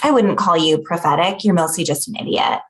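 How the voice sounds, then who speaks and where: A woman's voice: lowish voice, animated, a little fast, lightly acted. A woman reading the part of a sarcastic, easily insulted young woman, snippy and incredulous, at a comedy table read. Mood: indignant, snide.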